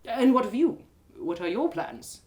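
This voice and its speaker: A woman's voice: a little high, sing-song, unhurried, a little mellow. A woman doing a dry, needling male traveler. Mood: dry, calm. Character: persistent, wry young male traveler. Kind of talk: live reading of an audio drama.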